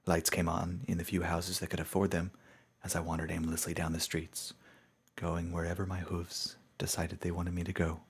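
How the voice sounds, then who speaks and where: A man giving a dramatic, scripted narration of a story in a solemn, measured storytelling manner. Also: low-pitched, monotone, husky.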